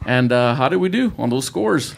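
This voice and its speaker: A man's voice: medium pitch, animated, normal speed, creaky. Upbeat man hosting a sports podcast. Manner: upbeat, repeats catchphrases, many "uh" fillers. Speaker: podcast host and football coach. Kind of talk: casual podcast chat. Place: indoor room with children present.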